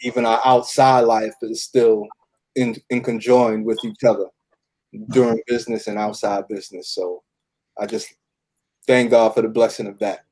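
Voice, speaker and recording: A man speaking casually as an interview guest, halting and repeating words as he gathers his thoughts. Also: lowish voice, level tone, darkish tone, low-quality recording. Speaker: interview guest, cast member. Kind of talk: casual conversation.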